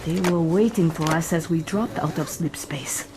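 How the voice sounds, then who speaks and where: A woman's voice: low-pitched, normal speed, heavy background noise, lightly acted. Wry, composed female ship's AI voiced by a woman. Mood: urgent. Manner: dry, precise, clinical. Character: wry, composed female shipboard artificial intelligence. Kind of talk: science fiction audio drama acting.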